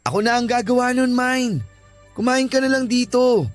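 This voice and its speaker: High-voiced earnest young Filipino husband. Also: male voice, steady tone, normal speed, faint music behind. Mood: earnest, tender. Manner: earnest, emotive. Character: earnest young husband and father, tired, defensive. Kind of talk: Filipino radio drama acting.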